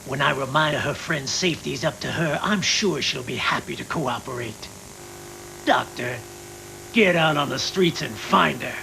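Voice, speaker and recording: Gravelly, smug crime boss. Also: male voice, medium pitch, moderate pace, sharp tone. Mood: smug menace. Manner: smug, commanding. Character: scheming, cold crime boss, smug and domineering. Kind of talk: English anime dub acting. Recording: noisy worn VHS tape with hum.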